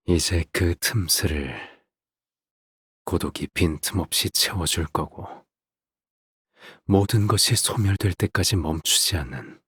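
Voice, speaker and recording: Creepy calm game villain dad, low voice, super husky and breathy, soft condescending talk. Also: male voice, no room sound, studio quality, full character voice. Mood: coldly tender. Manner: measured, soft-spoken, condescending, trailing into breathy near-whispers. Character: calm, sinister middle-aged father villain, coldly tender. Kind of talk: theatrical scripted game voice acting.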